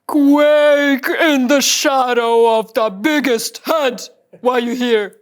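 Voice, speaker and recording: Boastful alien brute: ponderously slow, high-set, pompous broken speech, menacing and stupid. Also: female voice. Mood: pompous, demanding. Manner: broken grammar, blunt pompous declarations. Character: boastful dim-witted big-headed alien warlord, menacing. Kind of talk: character table read of sci-fi script.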